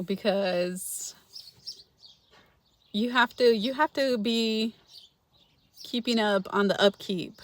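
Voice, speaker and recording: A middle-aged woman from Los Angeles chats loosely with her viewers, answering them by name. She laughs often, and her pitch sits in the middle range for a woman. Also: slight background noise. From Los Angeles, California. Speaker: frugal-living channel host reading viewer comments. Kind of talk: casual livestream chat.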